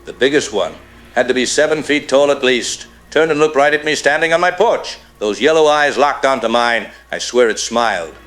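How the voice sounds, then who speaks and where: A man playing a shaken rural cattle rancher, gravely telling his story as a caller in a radio drama. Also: mid-range voice, animated, normal speed, fairly bright tone, soft background music, natural voice.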